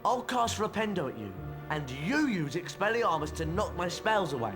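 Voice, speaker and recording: An eager young British schoolboy wizard in a fantasy game. His high voice leaps about with loyal bluster. Loud music sits behind him. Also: sing-song, moderate pace. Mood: eager, friendly.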